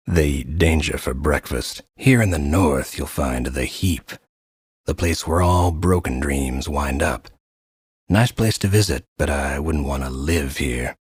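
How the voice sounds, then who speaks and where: A man voicing a gloomy, wry guide to a nightmare land in a game, husky and deadpan. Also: a bass voice, a little slow, dry recording, studio quality, heavily acted. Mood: wry, ominous. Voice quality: husky, raspy. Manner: deadpan, ominous wit. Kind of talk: scripted game narration.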